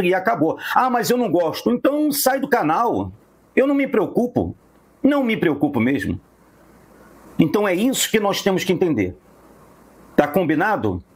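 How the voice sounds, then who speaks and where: A man with a Brazilian accent and a husky voice, delivering an indignant, emphatic football fan rant that keeps hammering phrases. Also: high voice.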